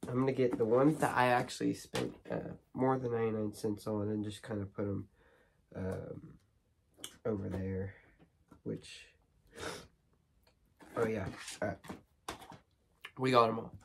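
A teenage boy with a cold, hoarse, chatting casually with many ums and restarts while unboxing movies in his bedroom. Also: fairly low pitch, steady tone, measured pace, pauses often, slight background noise. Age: teens. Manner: rambling, lots of ums and restarts. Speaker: horror movie vlogger. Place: small bedroom studio with shelves.

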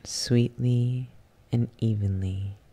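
A soft-spoken meditation guide leading an ASMR yoga nidra session in a soothing, unhurried manner with long pauses. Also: medium pitch, fairly even intonation, a little hiss or hum.

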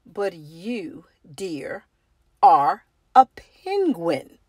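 A woman voicing a kindly bird flight teacher in a storybook, gentle, coaxing and instructive. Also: on the low side, huge pitch swings, extremely slow, husky, no echo, exaggerated voice. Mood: doubtful.

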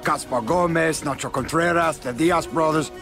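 A man's voice: fairly high pitch, normal speed, over music, natural voice. A man acting a hot-tempered, profane Cuban gangster kingpin in a video game, with a Cuban accent and a cocky, domineering manner. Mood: defiant, angry.

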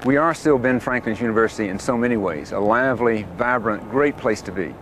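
A middle-aged man who leads a university, addressing the camera in a measured, stately way in a grand hall. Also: mid-range voice, a bit bright, slight background noise. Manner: measured, stately delivery with some hesitations. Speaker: university leader.

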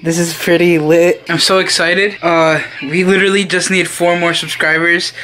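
A man's voice: a little high, normal speed, a little hiss or hum, poor audio. Hyped young man vlogging on his phone. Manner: excitable, hyped slang. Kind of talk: casual vlog chat.

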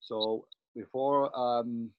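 A man's voice: mid-range voice, steady tone, very slow, no echo. A man in his sixties from Switzerland, a cardiologist talking through slides on a video call with many fillers and restarts. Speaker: interventional cardiologist. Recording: dull lo-fi video call audio.

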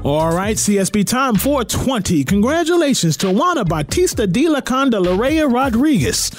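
A man's voice: very high voice, big pitch swings, normal speed. A man hosting radio news talk in a punchy, theatrical announcer delivery, with teasing asides, close on a studio mic. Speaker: radio host. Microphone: close broadcast boom mic. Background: music bed.

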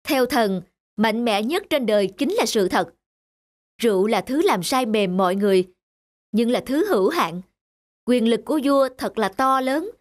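A humble young palace guard from a cartoon folktale, with a rather high voice. He speaks respectfully and earnestly in measured phrases, over clear music. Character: humble, earnest young guard, wise royal subject, male. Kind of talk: animated folktale voice acting.

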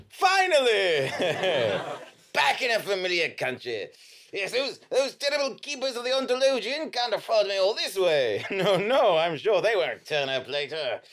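A man's voice: high-pitched, a bit bright, a little hiss or hum, heavily acted. A man playing a gleeful, vengeful feudal soldier in live comic audio drama, theatrical and exclaiming. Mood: triumphant. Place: small dim theatre stage before an audience. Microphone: stage microphone.

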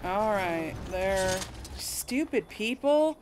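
A woman's voice: medium pitch, animated, drawn-out words. A woman doing casual game commentary, thinking aloud in a tentative way. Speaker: gaming video host and player. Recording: some background noise.

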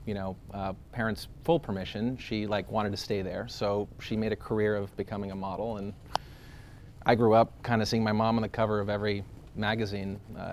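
A man's voice: fairly low pitch, slight background noise. A man in his thirties, born in Greece, talking casually in an interview with lots of fillers, restarts and laughs. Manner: rambling, many fillers and restarts, laughs often.

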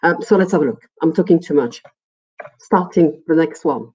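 A middle-aged woman with a French accent presents a webinar as a lecturer in a conversational, halting, digressive way, often repeating words. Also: sits low, mellow, slightly rough. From France. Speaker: university lecturer. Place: small indoor room, video call. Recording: muffled, dull webinar audio.

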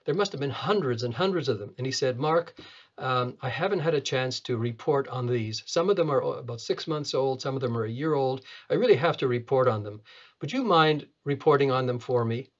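An elderly man from Canada recalls his medical career in an interview. He speaks at a middling pitch, halting often and repeating words. The sound is a little dull, as from a video call in an office. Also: animated. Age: 70s. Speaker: retina surgeon, interviewee. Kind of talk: reminiscing in an interview.

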